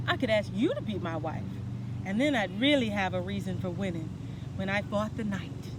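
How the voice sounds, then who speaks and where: A woman's voice: medium pitch, expressive, moderate pace, noisy background, lightly acted. A woman voicing a hapless, earnest, lovesick male dragon in a storybook, with a plaintive manner. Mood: smitten.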